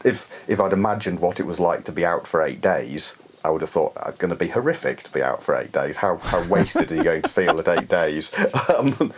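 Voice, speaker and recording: A man's voice: low voice, animated, a little fast, hardly any pauses, a little hiss or hum. A middle-aged man from the UK, an alpinist, telling climbing stories in a hesitant, reflective way with frequent restarts. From United Kingdom. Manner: hesitant, many restarts and soft laughs. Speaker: alpinist guest. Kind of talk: reflective conversational storytelling.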